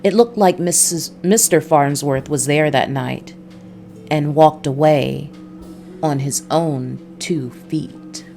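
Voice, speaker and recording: A brooding, hard-boiled detective read by a woman narrates a noir game story. The voice is low and measured, with music behind it. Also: mellow. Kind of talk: noir visual novel narration.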